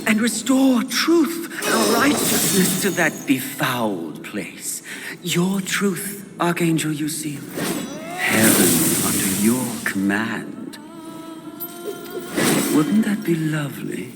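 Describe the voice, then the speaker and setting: Seductive, mocking game demon, slow theatrical taunts with wide pitch swings, in a cavernous reverb. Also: male voice, big pitch swings, heavily acted. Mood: teasing, persuasive. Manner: theatrical, taunting, silkily persuasive. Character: Lust, seductive, mocking demon sin tempter. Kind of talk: video game cutscene acting. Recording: long hall reverb, music underneath.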